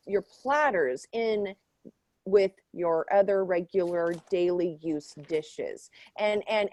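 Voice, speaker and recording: A woman plays a smug, defensive female researcher in an improvised sketch debate. She lectures in a halting, emphatic way, at a middling pitch, and the sound is dry and close. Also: darkish tone, dry recording. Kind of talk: improvised mock panel debate.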